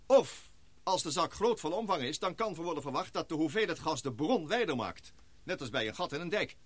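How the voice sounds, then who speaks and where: Radio drama earnest expedition scientist: high-pitched, forceful, precise and oratorical, recorded dry. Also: male voice, expressive, fairly quick, husky, dry recording. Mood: grave, explanatory. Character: earnest male scientist leading an island expedition, formal, excitable. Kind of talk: Dutch radio play acting.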